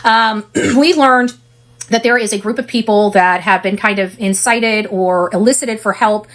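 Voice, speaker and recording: A woman's voice: medium pitch, steady tone, measured pace, a bit bright, rough recording. Rambling, opinionated woman commentator. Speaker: online commentary channel host. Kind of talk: casual true-crime case commentary livestream.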